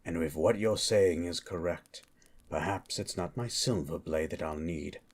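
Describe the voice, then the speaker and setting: A man playing a grim, menacing monster hunter for hire in a fantasy ASMR roleplay, speaking in a terse, deliberate, coldly questioning way. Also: heavily acted. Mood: cold threat. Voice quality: husky, very low.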